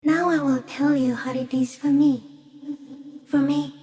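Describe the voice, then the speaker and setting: A woman voicing an ancient, serene giant stick insect in a video game, musing softly in halting, deliberate fragments. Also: high voice, fairly even intonation, creaky, soft background music. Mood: serene, reflective. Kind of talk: video game character voice acting.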